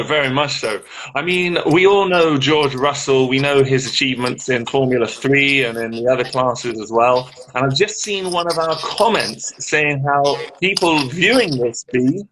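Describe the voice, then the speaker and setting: A man's voice: fairly high pitch, fairly bright tone. Chatty man on a crackly call. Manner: chatty, easygoing, British turns of phrase. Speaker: co-host. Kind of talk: casual live-stream chat. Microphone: video call.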